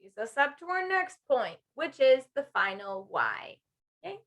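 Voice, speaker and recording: A woman's voice: high voice, sing-song, a little slow, a bit bright. A woman co-hosting a podcast in casual conversation, chatty and giggly, often acting out imagined bits of dialogue. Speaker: podcast co-host.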